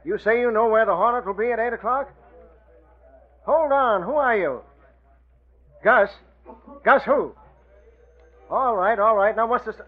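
An excitable, no-nonsense city editor from an old radio serial. The voice is very high-pitched and brusque. It comes through a muffled, narrow 1940s recording. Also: male voice, full character voice. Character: excitable, gruff-talking newspaper city editor. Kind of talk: 1940s radio drama acting.

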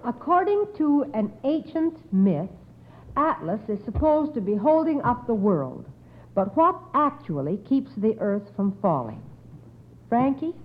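A woman hosting an old-time radio quiz in a formal, measured, encouraging way with precise wording. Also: a little high, very animated. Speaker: quiz show host. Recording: tinny, narrow old radio recording.

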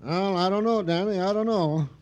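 An actor playing a doubtful, fretting police sergeant sidekick in a 1950s radio crime drama. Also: male voice, high voice, moderate pace, darkish tone, lightly acted.

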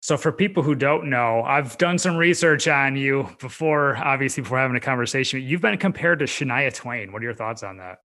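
A man's voice: mid-range voice, a little fast, hardly any pauses, sharp tone. A man from upstate New York with an American accent, interviewing a guest as a podcast host in an informal, encouraging way. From upstate New York, United States. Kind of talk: conversational interview.

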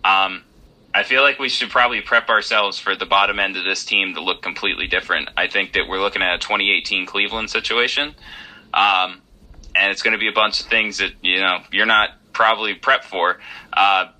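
An American man giving casual sports analysis as a fan, in a measured, speculative way. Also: fairly low pitch, steady tone, bright, forceful tone, low-quality recording.

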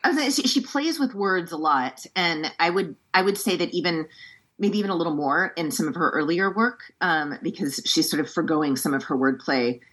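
Woman translator, native English speaker, deep and breathy, reads verse and then talks it through carefully. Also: expressive, fairly bright tone. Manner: thoughtful, measured explaining with frequent restarts. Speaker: poetry translator. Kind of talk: poetry reading and book-event discussion.

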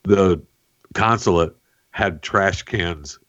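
Male podcast host, very low voice, dull lo-fi sound, joking, filler-heavy headline chat. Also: slow pace, fairly bright tone. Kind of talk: casual news chat.

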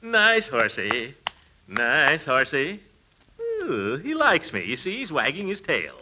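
Pompous uncle, wildly swooping voice. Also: male voice, a little high, wildly swooping pitch, slow pace, heavy background noise, exaggerated voice. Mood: amused, wary. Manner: blustery, pompous, chuckling. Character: pompous middle-aged uncle running for office, blustery and hearty. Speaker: lead actor in a radio comedy. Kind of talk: 1940s radio comedy acting. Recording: muffled old radio recording.

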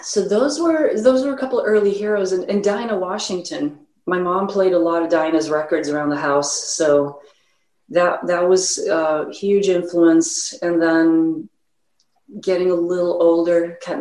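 A woman's voice: on the low side, steady tone, normal speed, a little mellow, roomy sound, rough recording. A woman who grew up in Sweden, with a slight Swedish accent, talking casually as a musician guest in an interview and rambling with many fillers.

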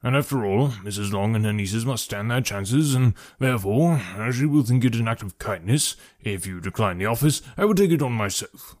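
A man's voice: mid-range voice, expressive, moderate pace, hardly any pauses, darkish tone, natural voice. Man as a dryly teasing middle-aged father. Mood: wryly teasing. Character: dry, teasing middle-aged father, calmly ironic gentleman. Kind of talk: audiobook character voice.

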